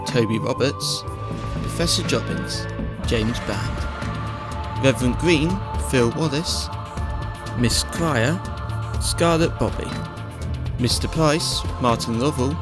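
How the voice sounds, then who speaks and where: A man reading scripted end credits as an announcer, in a measured, list-like way. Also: medium pitch. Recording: loud music behind voice.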